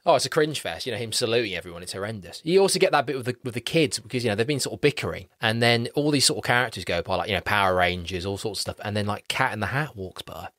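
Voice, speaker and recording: A man co-hosting a film podcast, talking in an animated, sweary and mocking way. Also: on the low side, racing, hardly any pauses, dry recording, clean studio sound. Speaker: podcast co-host. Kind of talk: casual film review chat.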